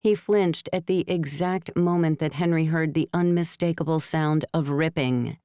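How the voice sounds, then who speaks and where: A woman reading scripted narration for a novel in a measured storytelling manner. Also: low-pitched, warm tone, smooth, no echo, studio quality. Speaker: audiobook narrator.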